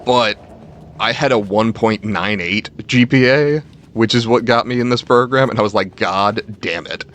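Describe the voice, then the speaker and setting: A man's voice: on the low side, normal speed, a bit bright. A young man chatting casually to stream viewers, telling rambling, self-deprecating personal stories with casual swearing. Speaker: game livestreamer. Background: faint game music.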